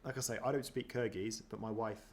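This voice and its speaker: A man's voice: fairly low pitch, fairly even intonation, fairly quick, darkish tone, a little hiss or hum. A man chatting casually as he reacts to footage of himself, self-deprecating, with many ums and restarts. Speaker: running vlogger.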